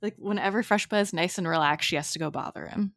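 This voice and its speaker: Upbeat low-voiced woman podcast host. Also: quick pace, fairly bright tone, dry recording, clean studio sound. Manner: chatty, upbeat, laughs easily. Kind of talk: casual chat.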